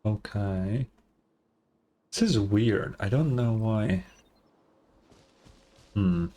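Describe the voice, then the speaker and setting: A man's voice: on the low side, lively intonation, very slow, warm tone. A man doing casual live reaction commentary as a game streamer, puzzled and prone to swearing.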